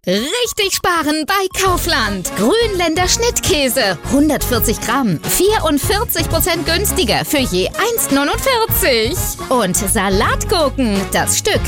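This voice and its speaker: A woman with a high, lively voice reading a radio commercial slowly and sing-song. Music plays clearly behind her. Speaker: ad voice-over.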